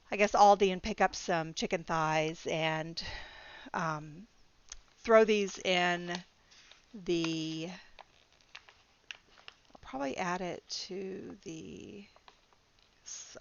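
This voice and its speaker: Chatty middle-aged woman, smooth and low. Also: fairly bright tone, dull audio. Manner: rambling, chatty, frequent ums and restarts; laughs easily. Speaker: budgeting vlogger. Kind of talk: casual talk to camera.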